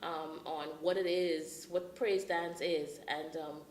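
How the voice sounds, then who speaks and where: Woman from the Bahamas, interview guest, lowish flat voice, measured with pauses, echoey room. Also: slight background noise. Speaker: guest, dance ministry leader. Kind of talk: casual interview.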